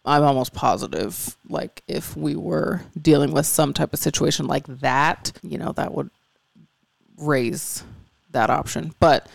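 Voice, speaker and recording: Woman podcast host, stuffy allergy voice, slight rasp, earnest rambling, dry close mic. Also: deep voice, unhurried, no echo. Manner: candid, earnest, rambling with fillers. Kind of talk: casual chat.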